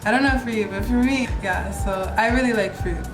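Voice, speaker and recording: A woman's voice: a little high, fairly quick, over music. A young American woman vlogging casually about travel and healthy living, chatty and gushing as she rambles between topics. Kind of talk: casual vlog chat. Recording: roomy echo.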